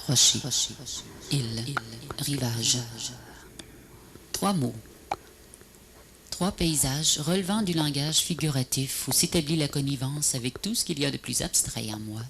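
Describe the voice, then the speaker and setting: A woman recites poetry as a voice-over in a solemn, measured, breathy and often half-whispered delivery. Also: very deep voice, animated, fairly bright tone. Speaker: poet narrator of a video poem. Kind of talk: poetic recitation, scripted voice-over. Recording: noisy VHS transfer, ambient noise.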